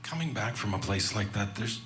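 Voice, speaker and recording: An actor playing a shaken male survivor, telling his ordeal in a halting, emotional way for a horror audio drama. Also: lowish voice, lively intonation, a little hiss or hum. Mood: haunted, grieving. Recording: loud music under the voice. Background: loud music.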